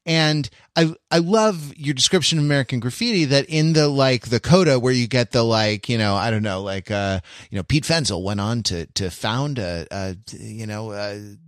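A man with a mid-pitched voice, recorded dry with very little room sound. He talks casually as a podcast co-host, reflecting aloud in a halting, tentative way and often restarting as he gropes toward his point. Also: no echo. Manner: halting, stammering, tentative as he works out ideas. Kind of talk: casual reflective pop culture discussion.

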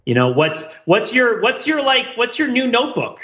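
A man hosting a casual panel podcast, rambling in an animated way and repeating phrases as he thinks aloud. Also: very high-pitched, normal speed, dull audio. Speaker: podcast host.

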